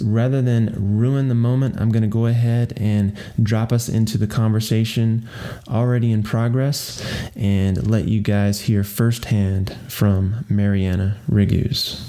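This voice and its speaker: A man with a low, dark, mellow voice hosts a casual interview podcast. He speaks in a relaxed way and keeps affirming his guest with soft murmurs. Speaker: podcast host.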